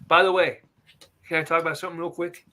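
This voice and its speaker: A man's voice: a little high, level tone, quick pace, background noise. A man co-hosting a wrestling podcast in loose, casual chat, rambling into side stories and apologizing for going off topic. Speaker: podcast co-host.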